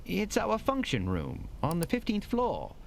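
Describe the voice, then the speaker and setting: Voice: medium pitch, huge pitch swings, a little slow, vocal fry, a little hiss or hum, heavily acted. An actor playing a cautious, protective hotel manager in an audio drama, firm and sceptical.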